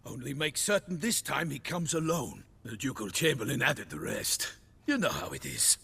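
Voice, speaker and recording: An actor playing a courteous knight envoy in a video game, with formal, old-fashioned phrasing. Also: male voice, medium pitch, expressive, normal speed, vocal fry, bright, forceful tone, slight background noise. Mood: courteous, confiding. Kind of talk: video game cutscene acting.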